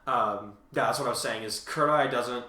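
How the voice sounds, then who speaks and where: A man hosting a casual fan discussion, talking in a rambling, halting way and often correcting himself mid-thought. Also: mid-range voice, pushed and bright, recorded from a distance. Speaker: host and fan fiction author. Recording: echoey untreated room.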